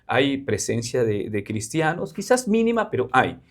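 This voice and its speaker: A man from Peru with a Peruvian Spanish accent speaks in an interview. He explains things earnestly, at a middle pitch, pausing on fillers as he goes. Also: big pitch swings. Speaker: missionary guest. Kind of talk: interview conversation.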